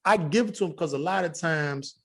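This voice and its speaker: A man's voice: a little high, a little fast, creaky, a bit bright. A man from Chicago's South Side with a Chicago accent, a guest comedian chatting on a podcast in a loose, profane way full of fillers. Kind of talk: casual podcast chat.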